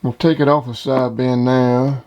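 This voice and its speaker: A man's voice: medium pitch, flat delivery, normal speed, muffled recording, heavy background noise. A man casually showing off radio gear, plain-spoken and enthusiastic, like a hobbyist hosting his own video. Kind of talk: casual product demonstration.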